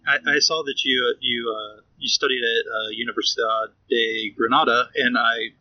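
A man's voice: mid-range voice, steady tone, normal speed, far from the mic, dull audio. A man hosting a casual interview, joking and stammering as he asks questions. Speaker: podcast host.

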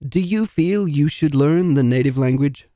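A man's voice: a little high, normal speed, warm tone, dry recording, studio quality, close to an everyday voice. A man voicing a self-pitying failed dungeon master in a comedy audio drama, talking in a melodramatic way. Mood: dramatic. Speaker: narrator.

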